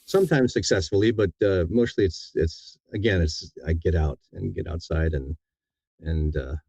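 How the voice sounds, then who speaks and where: A man's voice: sits low, normal speed, gravelly, warm tone, breathy edge, dry recording. A man talking casually as an interviewed audiobook narrator, rambling and correcting himself with enthusiasm.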